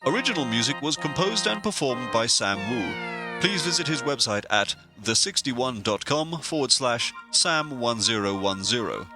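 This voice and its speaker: An actor playing a suave, pompous narrator in an audio sitcom, speaking formally and deadpan. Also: male voice, mid-range voice, moderate pace, music in the background. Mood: formal, mock-solemn. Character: suave, pompous male narrator who steps into the story.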